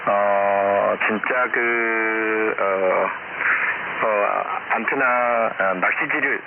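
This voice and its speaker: Man, lowish voice, halting ham radio talk, repeated phrases, heavy static on shortwave. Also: steady tone, gravelly, narrow, tinny audio. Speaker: amateur radio operator. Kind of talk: unscripted radio contact. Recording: very noisy, band-limited shortwave radio.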